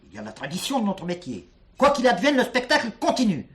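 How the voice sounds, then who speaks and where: A man playing an earnest, excitable music-hall director in a French stage comedy, with a declamatory manner. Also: high voice, fast talker. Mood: grandiose pride.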